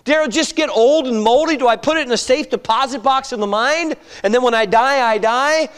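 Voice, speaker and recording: A man in his fifties delivers a sermon in a high, light voice. He talks it through conversationally, peppering it with rhetorical questions and tag checks. The sound trails off in the long reverb of a large hall. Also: fairly quick, fairly bright tone, smooth. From United States. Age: 50s. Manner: conversational preaching with rhetorical questions and frequent "all right?". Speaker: pastor.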